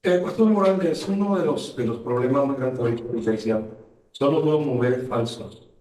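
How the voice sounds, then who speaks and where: A man's voice: mid-range voice, normal speed, dark tone. A man who is a pastor hosting a radio program, reading scripture and talking with a fervent, emphatic preaching cadence. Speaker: radio show host and pastor. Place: radio studio. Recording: roomy echo, voice distant from mic.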